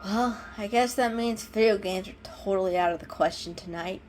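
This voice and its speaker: A woman's voice: medium pitch, normal speed, a little mellow, soft background music, close to an everyday voice. A woman voicing a restless, grumbling male kitty in a storybook reading, with an impatient manner.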